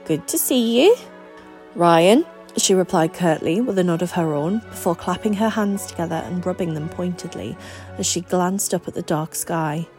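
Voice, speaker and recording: A woman reading fiction aloud in an expressive audiobook narration style, with music behind her. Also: fairly low pitch, moderate pace. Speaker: guest reader.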